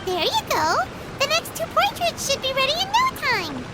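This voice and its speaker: A woman voicing a tiny, childlike, excitable fairy companion in an anime game, with a squeaky voice. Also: normal speed, heavy background noise, full character voice. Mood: excited. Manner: exclamatory, refers to self by name.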